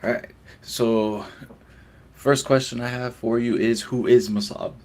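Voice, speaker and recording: A man's voice: medium pitch, fairly even intonation, a little slow, darkish tone. A man hosting a casual interview, asking questions and giving short, easygoing affirmations. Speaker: podcast host.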